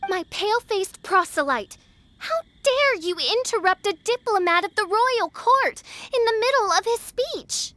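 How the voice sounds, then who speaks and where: High-voiced pompous princess girl. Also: expressive, measured pace, sharp tone, exaggerated voice. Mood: indignant. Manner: pompous, archaic flowery speech. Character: haughty theatrical teenage princess girl with grandiose airs. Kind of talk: anime-style video game voice acting.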